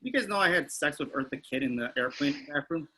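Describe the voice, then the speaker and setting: A man's voice: on the high side, lively intonation, quick pace, pushed and bright, rough recording. A man reading the part of a boastful, oblivious older man in a sitcom table read, with some noise behind him.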